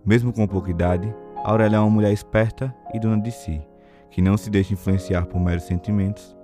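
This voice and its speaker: A man's voice: lowish voice, steady tone, fairly quick, over music. A man with a Brazilian accent narrating a period novel as scripted audio drama.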